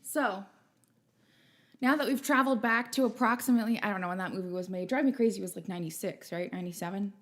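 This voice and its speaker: A woman's voice: mid-range voice, quick pace. A woman hosting a livestream concert, playful and rambling with jokey asides. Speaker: host and singer.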